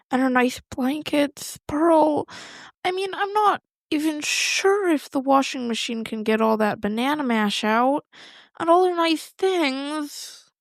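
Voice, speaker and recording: A woman voicing a fretful, soft-hearted young girl who speaks hesitantly in an audio story. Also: sits high, no room sound.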